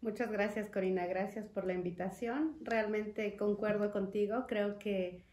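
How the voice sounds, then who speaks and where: A young woman from Chiapas, a guest in an interview, speaking earnestly and in a measured way with frequent fillers, at a desk mic. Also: mid-range voice, steady tone, a little mellow. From Mexico, Chiapas. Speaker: interview guest, foundation representative. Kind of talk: conversational interview. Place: studio room with wood panels and glass. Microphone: desk mic on stand. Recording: echoey room.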